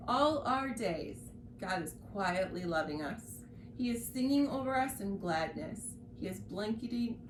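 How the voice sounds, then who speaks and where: A woman hosting a devotional read-along who reads scripture and reflections aloud in a gentle, earnest way, with light informal asides. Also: mid-range voice. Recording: roomy echo with faint background noise.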